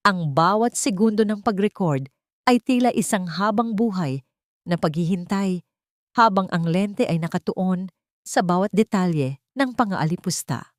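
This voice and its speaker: A woman's voice: mid-range voice, animated, moderate pace, no echo, clean studio sound. A woman reading a dramatic story as a scripted narration, with a solemn, ornate storytelling delivery.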